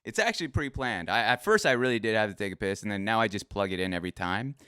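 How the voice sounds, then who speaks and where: A man hosts a laid-back interview and chats in a rambling, friendly way, stopping and restarting as he thinks aloud. His voice sits low but moves a lot. The sound is smooth and dry, close to the mic. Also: lively intonation, quick pace, pushed and bright, dry recording. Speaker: podcast host. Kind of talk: casual interview.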